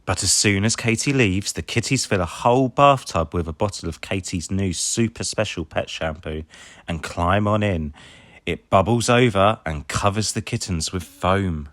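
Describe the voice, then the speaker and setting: A man reading a children's story aloud in an expressive way, acting out the dialogue. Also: low voice, normal speed, no room sound, studio quality. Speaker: storybook reader. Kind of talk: scripted children's story narration read aloud.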